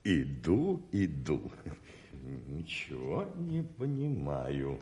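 An actor in a radio play voicing a flustered, deferential club servant with an obsequious, hesitant manner. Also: male voice, rumbling low, huge pitch swings, drawn-out words, warm tone, full character voice. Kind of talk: 1980s radio play acting.

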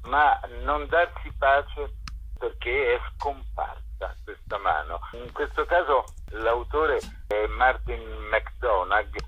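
A man's voice: medium pitch, expressive, takes it slowly, breathy edge. A man giving spontaneous interview answers as a theatre curator, hesitant and often searching for words, over a telephone. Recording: dull lo-fi telephone line.

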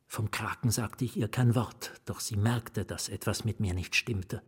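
A haunted novelist telling his own story in a radio play. His voice is strongly husky and breathy, and he speaks slowly and deliberately, often sinking to a whisper. Fear and anguish sit under the literary narration. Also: lowish voice. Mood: brooding, haunted. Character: anguished, fearful male novelist recounting his ruin. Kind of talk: literary radio drama first-person narration.

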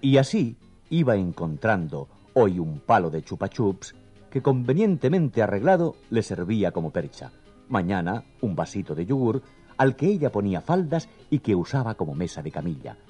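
A male storyteller with a low, slightly husky voice narrates a children's tale. His delivery is theatrical and expressive, rising and falling widely. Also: moderate pace, faint music behind.

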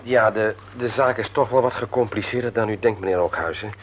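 A man's voice: fairly low pitch, fairly quick, natural voice. An actor playing a mild, hesitant office manager and family man in a Dutch radio play, polite and apologetic. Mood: hesitant, earnest. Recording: muffled old radio play recording.

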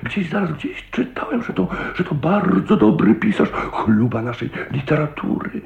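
A pompous old uncle in a radio play, with a very animated, swooping delivery. He is bossy and emphatic, with oily geniality. The recording is dull and roomy. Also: a little high, moderate pace, gravelly, a crowd in the background. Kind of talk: radio drama acting.

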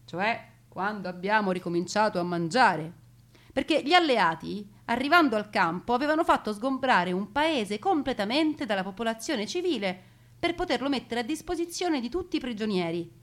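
A woman performs a narrative piece as a storyteller. She speaks expressively in the first person, placing emphatic pauses and setting out details word by word. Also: on the high side, lively intonation. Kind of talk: theatrical first-person narration of family war memories.

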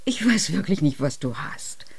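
A woman's voice: fairly low pitch, sing-song, moderate pace, vocal fry, slightly rough, exaggerated voice. A woman playing a nagging, name-dropping mother in a radio drama, with speech and a theatrical, reproachful manner.

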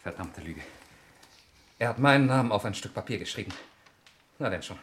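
An actor playing a cornered, defiant murder suspect in a 1960s German radio crime play, with a sardonic manner. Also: male voice, fairly low pitch, expressive, brisk, lightly acted. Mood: defiant, indignant. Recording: noisy old 1960s radio recording.

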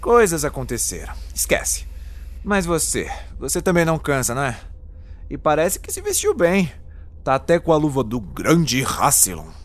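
An actor playing a weary, irritable time-traveling hero in an audio drama, with a wry, flippant and dramatic delivery. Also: male voice, a little high, huge pitch swings, normal speed. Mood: weary, guarded. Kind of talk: scripted audio drama acting.

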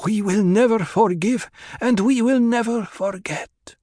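Desert tribeswoman for audiobook, male narrator: grave, ceremonial, incantatory, pitched up. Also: measured pace, dry recording, studio quality. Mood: harsh, vengeful.

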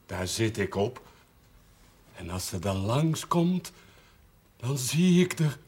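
An actor playing a childlike, lovestruck village simpleton in a television drama, speaking in halting, naive, blunt phrases. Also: male voice, mid-range voice, very animated, darkish tone, husky, heavily acted. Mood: dreamy. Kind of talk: 1970s Dutch television drama acting.

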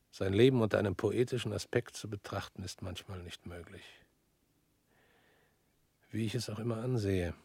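A husky, brooding ex-official. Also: male voice, low-pitched, a little mellow, no room sound. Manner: measured, brooding, often sinking to a whisper. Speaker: radio drama actor. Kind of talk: radio play acting.

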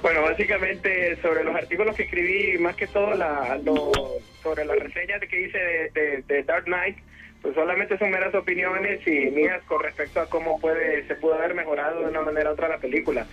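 A man's voice: a little high, fairly even intonation, a little fast, dull audio. A man from Honduras speaking as a correspondent on a film show, giving a casual, conversational report.

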